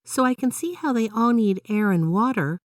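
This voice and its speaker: A woman's voice: fairly high pitch, expressive, moderate pace, no echo, clean studio sound, far from the mic, voice pushed up, close to an everyday voice. A woman voicing a curious, eager little girl in a children's storybook, with a wide-eyed, inquisitive manner.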